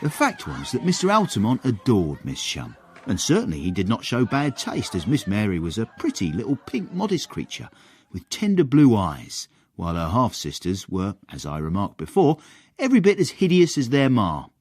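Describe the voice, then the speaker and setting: An actor playing a cheeky manservant who narrates a period radio comedy in a wry, florid, relishing way, with literary airs. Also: male voice, lowish voice, huge pitch swings, moderate pace, husky, heavily acted. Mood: confiding.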